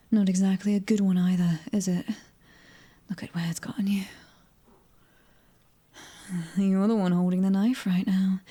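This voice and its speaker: A British woman voicing a cocky, fiery mercenary in an ASMR roleplay, teasing and breathy, often dropping close to a whisper. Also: medium pitch, mellow, close to an everyday voice. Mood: irritated, scornful. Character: fiery, cocky young female mercenary, prickly and taunting. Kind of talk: ASMR audio roleplay acting.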